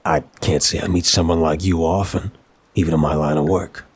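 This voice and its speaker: Man playing a deep-voiced, wry mafia boss. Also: fairly even intonation, fairly quick, husky, dry recording. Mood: amused, charmed. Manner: wry, conversational, gangster slang. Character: apologetic, wry, charming male mafia boss. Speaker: audio roleplay performer. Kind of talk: scripted ASMR roleplay acting.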